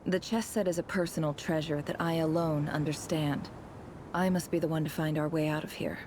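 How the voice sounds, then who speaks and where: An actress playing a sly, commanding woman boss in a video game, with a deliberate, sardonic delivery. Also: low-pitched, steady tone, background noise, lightly acted. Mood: cool, measured. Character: sly, commanding woman boss, coolly teasing and self-assured.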